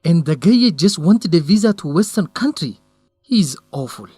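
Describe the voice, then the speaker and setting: Caring young male friend, slightly high voice, deliberate radio drama delivery, dry studio recording. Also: sing-song, mellow, breathy edge, dry recording, faint music behind. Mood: dismayed, sympathetic. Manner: deliberate, simply phrased, sympathetic. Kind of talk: English-learning radio drama acting.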